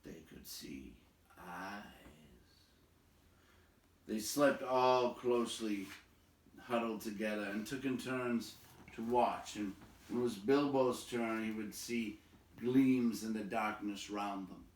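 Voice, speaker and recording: A man with a low voice narrates a fantasy tale aloud, taking his time. His delivery is dramatic and suspenseful, with emphatic pauses. He sounds set back from the microphone in a roomy space. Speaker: storybook reader. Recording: roomy echo, distant microphone.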